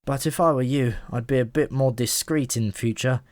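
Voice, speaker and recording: A man's voice: medium pitch, normal speed, a little mellow, no room sound, close to an everyday voice. A man voicing a chatty, world-weary pigeon in an audiobook, talking in an offhand, frank and matter-of-fact way. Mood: offhand, advising. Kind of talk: audiobook character voice.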